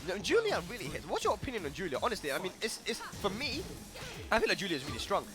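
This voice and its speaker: A man commentating a fighting game tournament, excitable and joking. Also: high voice, fast talker. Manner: excitable, laughs often, jokes around. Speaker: esports commentator. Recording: commentary over loud game music.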